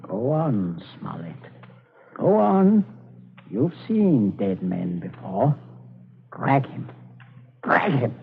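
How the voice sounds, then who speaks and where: A man's voice: medium pitch, big pitch swings, extremely slow, gravelly, long pauses, exaggerated voice. An actor playing a middle-aged, domineering and falsely genial father in a polite, theatrical 1940s radio suspense style. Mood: curt, commanding. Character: domineering, suavely genial, scheming English father. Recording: scratchy, narrow-band 1940s radio recording.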